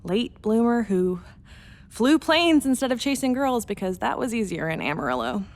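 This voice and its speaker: A woman's voice: fairly high pitch, big pitch swings, normal speed. A woman playing a determined, nostalgic pilot in a radio play monologue, earnest and insistent as she recites to herself. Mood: determined, urgent.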